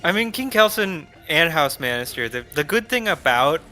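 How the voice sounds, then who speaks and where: A man's voice: fairly high pitch, big pitch swings, moderate pace, sharp tone, faint music behind. A man casually chatting on his gameplay stream, wry and laughing, reading viewer comments aloud. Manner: wry, reads chat messages aloud.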